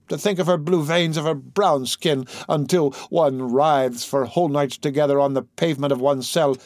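Man doing anguished priest, obsessive lover, pleading, theatrical, fairly high, dry sound. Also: darkish tone, no room sound, natural voice. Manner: impassioned, pleading theatrical declamation. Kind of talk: audiobook character reading.

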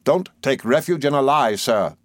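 A male narrator plays a stern Victorian stepfather from a storybook. He speaks formally and gravely, with measured, commanding weight, in a dry, close sound. Every phrase is cold and domineering. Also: mid-range voice, expressive, no echo, voice pushed up, close to an everyday voice. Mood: fierce, commanding. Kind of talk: audiobook character in a Victorian novel.

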